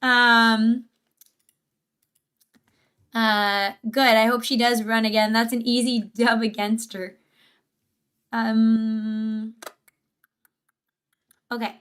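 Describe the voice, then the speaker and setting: A woman's voice: fairly high pitch, little pitch movement, very slow, long pauses, a bit bright, clean tone. A woman hosting a livestream, giving casual, opinionated reaction commentary in an emphatic way with rhetorical questions. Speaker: livestream host.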